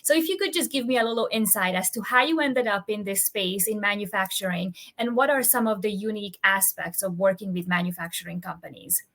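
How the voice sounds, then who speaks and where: A woman's voice: medium pitch, brisk, few pauses, a bit bright. Woman host reading a formal introduction. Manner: formal, reads out a prepared introduction. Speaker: interviewer, host. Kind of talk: prepared interview questions.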